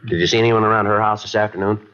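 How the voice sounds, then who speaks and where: Man playing american police detective, really low voice, super fast clipped questions, muffled old radio sound. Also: lightly acted. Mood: businesslike. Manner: clipped, matter-of-fact, deadpan. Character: polite, businesslike male police detective questioning a witness. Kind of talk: old-time radio detective drama acting. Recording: dull, lo-fi old radio recording.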